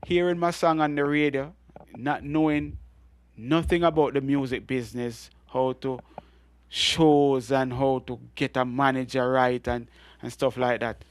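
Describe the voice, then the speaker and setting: A middle-aged Jamaican man with a deep voice, telling animated stories as a guest in an interview. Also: measured pace, clear, resonant tone, no echo. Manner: animated storytelling with frequent laughs and restarts. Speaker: guest reggae artist. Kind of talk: storytelling interview.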